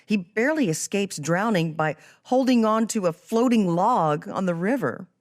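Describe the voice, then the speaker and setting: A woman's voice: mid-range voice, animated, moderate pace. A woman hosting a podcast, presenting and asking questions in a scripted interview style. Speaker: podcast host.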